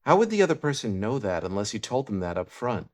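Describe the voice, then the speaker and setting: A man playing a laid-back, out-of-work cartoon star who drives rideshares, talking calmly and matter-of-factly in short low-key replies. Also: fast talker. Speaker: rideshare driver in an animated series.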